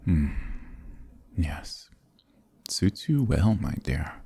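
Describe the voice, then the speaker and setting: A man playing a possessive, dominant vampire lord in an intimate ASMR roleplay, gravelly and breathy, often whispering, with courtly, teasing menace. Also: a bass voice, very animated, mellow, dry recording, heavily acted. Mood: seductive, appraising. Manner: courtly, silky, teasing, menacing, drawn-out phrasing.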